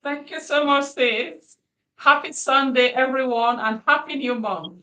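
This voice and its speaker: A woman's voice: high voice, measured pace, bright, forceful tone, poor audio. A woman from Nigeria who works as a business coach, chatting casually with many fillers. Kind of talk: casual panel introduction.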